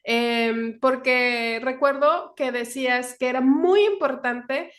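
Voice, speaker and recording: Woman, high voice, interviewer, casual conversation, hesitant searching phrasing. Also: slow pace, a bit bright. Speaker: interviewer, host.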